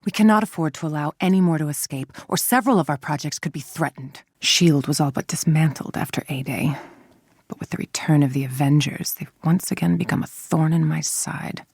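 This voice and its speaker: A woman playing a cold, calculating villain scientist-executive in a video game, speaking in a measured, imperious, menacing way with a husky, creaky voice. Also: low-pitched, a little mellow, no echo, studio quality. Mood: stern, contemptuous. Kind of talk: scripted dramatic video game monologue.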